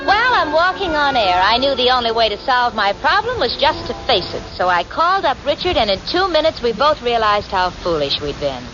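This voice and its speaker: Animated, high-voiced sensible roommate. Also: female voice, a little fast, hardly any pauses, over loud music. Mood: pleased, wry. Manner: articulate, dramatic, wry. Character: sensible, exasperated working-girl roommate, sharp-tongued. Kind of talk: 1940s radio comedy acting. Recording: dull, very noisy 1940s radio recording.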